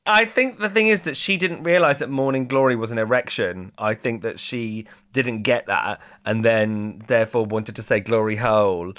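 A man co-hosting a casual chat, animated and giggly, often restarting sentences and breaking into high laughs. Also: moderate pace, hardly any pauses. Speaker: podcast co-host.

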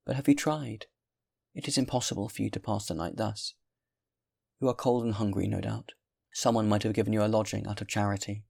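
A man voicing a kindly, concerned old noblewoman who asks gentle questions in an audiobook story. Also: fairly low pitch, steady tone, brisk, vocal fry. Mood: pitying. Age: older. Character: kindly, concerned old marquise, a pious woman.

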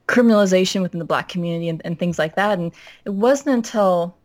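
Woman from minnesota on a podcast, lowish voice, talks steady and thoughtful, lots of "you know", dry sound. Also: fast, no room sound. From Minnesota, United States. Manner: reflective, steady, frequent "you know" fillers. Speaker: pastor's wife and guest. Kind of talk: podcast interview, personal story.

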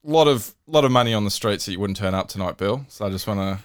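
A man's voice: lowish voice, animated, fast talker, smooth, no room sound, studio quality. Teasing man hosting a podcast. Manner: teasing, jokey. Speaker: podcast host. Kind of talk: casual podcast banter.

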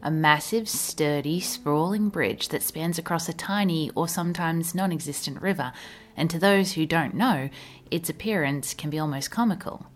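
A woman with an Australian accent giving a scripted documentary narration in a measured, storytelling manner. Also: low-pitched, a little hiss or hum. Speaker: history video narrator. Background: background music.

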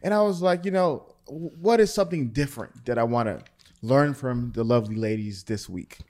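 A man's voice: mid-range voice, brisk, darkish tone. A man hosting a casual podcast, asking questions in an easygoing, rambling way and laughing often. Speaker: podcast host. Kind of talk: casual chat.

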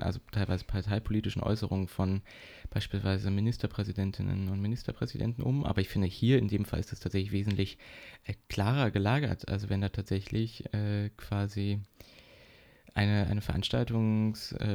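A young man hosting a political podcast, explaining topics in a measured way with occasional fillers. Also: on the low side, gravelly, warm tone. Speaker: podcast host. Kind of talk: casual political podcast chat.

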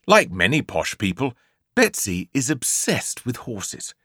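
Theatrical, slow-paced English male storybook narrator. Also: mid-range voice, wildly swooping pitch, bright, forceful tone, no echo, clean studio sound. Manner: theatrical, emphatic delivery with drawn-out key words. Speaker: audiobook narrator. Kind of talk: scripted comic children's storybook narration.

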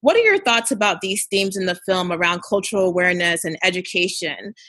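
Bright-voiced woman interviewer. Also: lowish voice, lively intonation, breathy edge, dry recording. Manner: measured, thoughtful, some hesitation. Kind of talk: interview questions.

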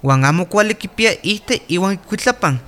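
A man playing a calm village doctor in a radio drama, explaining health advice in a steady, reassuring way. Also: high voice, normal speed. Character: calm male village doctor, patient and instructive.

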